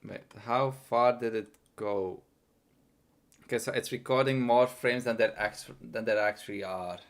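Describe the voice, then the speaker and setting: A man's voice: mid-range voice, steady tone, normal speed. A young man with a worn, tiring voice streaming his programming, muttering to himself and trailing off between thoughts. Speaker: livestreaming programmer. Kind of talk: casual live coding stream.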